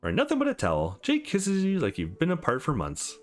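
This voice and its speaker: A man's voice: fairly high pitch, huge pitch swings, fairly quick, faint music behind. A man hosting a let's play, narrating visual novel text in a dramatized way.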